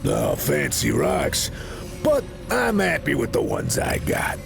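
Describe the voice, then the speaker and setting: An actor playing a gruff, unimpressed hero in a video game, dry and sarcastic. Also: male voice, fairly high pitch, wildly swooping pitch, takes it slowly, over music, exaggerated voice. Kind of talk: video game character lines.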